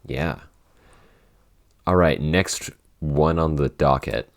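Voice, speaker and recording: A man's voice: extremely low pitch, big pitch swings, takes it slowly, vocal fry. A young man chatting casually as a podcast host, rambling with many fillers and restarts. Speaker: podcast host and show writer. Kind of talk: casual chat.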